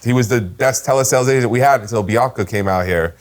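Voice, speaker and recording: A man's voice: mid-range voice, fast talker. A man giving casual sales training, talking emphatically and informally and tagging "right?" onto his points. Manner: emphatic, animated, tags "right?" often; slangy and informal.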